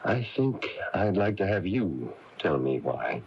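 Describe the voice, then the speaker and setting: An actor playing a concerned small-town doctor in a 1980s radio drama, with a measured, gently questioning manner. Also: male voice, low voice, sing-song, husky, exaggerated voice. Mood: gently probing. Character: calm, probing small-town doctor, a concerned male confidant. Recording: dull lo-fi taped radio broadcast.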